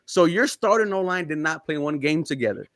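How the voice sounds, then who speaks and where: A man on a casual sports podcast, breaking down his team's roster with excited, rapid-fire analysis. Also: a little high, dry recording, studio quality. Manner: excited, fast-flowing football analysis. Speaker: podcast guest.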